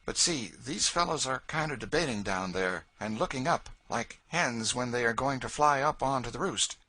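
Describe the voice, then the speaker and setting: A man's voice: medium pitch, expressive, moderate pace, sharp tone, voice pushed up. A man reading aloud, voicing a joking frontier Quaker man with a drawl and old-fashioned speech. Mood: wry.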